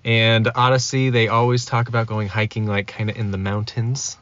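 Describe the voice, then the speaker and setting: A man's voice: fairly low pitch, level tone, moderate pace, a bit bright. A man chatting casually as a guest on a podcast. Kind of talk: casual chat. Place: inside a car. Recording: dull lo-fi, faint noise.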